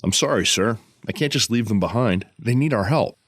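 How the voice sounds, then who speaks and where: A man's voice: medium pitch, lively intonation, normal speed, no echo, lightly acted. Man acting a loyal ally, dry sound. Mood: resolute. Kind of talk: audio drama acting.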